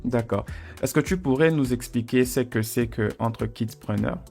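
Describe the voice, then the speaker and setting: Male podcast host, mid pitch, casual and halting, faint music underneath. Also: creaky. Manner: relaxed, hesitant with repeated words. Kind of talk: conversational podcast interview.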